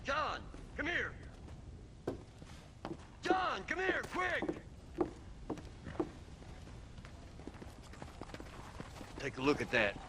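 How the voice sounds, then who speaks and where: An actor playing a folksy, grumbling old ranch hand with an American Western accent and a gravelly voice in a video game. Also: male voice, very high-pitched, huge pitch swings, takes it slowly, background noise, full character voice. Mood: urgent. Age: older. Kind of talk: video game cutscene acting.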